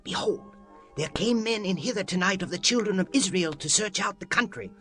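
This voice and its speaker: A man's voice: high voice, animated, a little fast, sharp tone, soft background music. An actor playing an alarmed informant reporting to an ancient king in a dramatized scripture reading.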